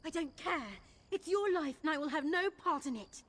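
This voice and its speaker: A woman playing an earnest young thief in a video game, with a heartfelt, expressive delivery. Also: sits high, normal speed, slight background noise. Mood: hurt, angry. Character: earnest young woman thief seeking her late father's treasure. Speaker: game character. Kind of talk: dramatic game dialogue.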